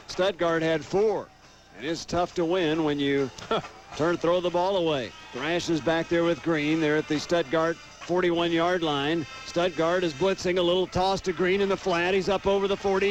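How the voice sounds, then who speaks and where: An American man doing live radio play-by-play commentary of a football game. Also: on the high side.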